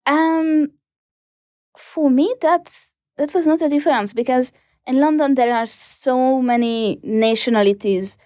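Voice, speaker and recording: Young Hungarian woman, interview guest, halting delivery, close dry sound. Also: high-pitched, a little mellow, no room sound. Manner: hesitant, many ums and restarts. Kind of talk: casual interview answers.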